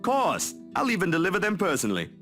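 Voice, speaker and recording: A bashful, lively young man. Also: sits high, fast, heavily acted. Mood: bashful, friendly. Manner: casual, bashful. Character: Zachary, friendly bashful young male photographer. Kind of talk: visual novel voice acting. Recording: music playing behind.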